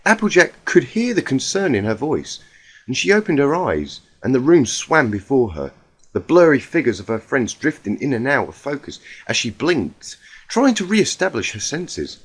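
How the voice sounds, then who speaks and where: Male story narrator, medium pitch, animated and dramatic delivery. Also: normal speed, husky. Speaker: fan fiction reader.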